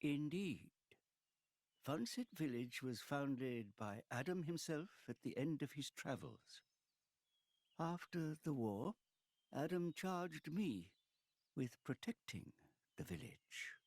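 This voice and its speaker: A man's voice: a little high, expressive, takes it slowly, a little mellow, dry recording, heavily acted. A man playing a wise, grave old guardian grandfather in a video game, speaking in a solemn, cryptic manner. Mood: solemn, knowing. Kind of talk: dramatic video game acting.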